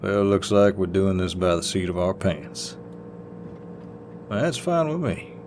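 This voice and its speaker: Deep, slow-drawling Southern man, acted. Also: big pitch swings, a little hiss or hum. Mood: easygoing, curious. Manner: slow, gentlemanly drawl with folksy phrasing. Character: easygoing, chivalrous Southern redneck boyfriend, teasing and devoted. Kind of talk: intimate boyfriend audio roleplay, acted to the listener.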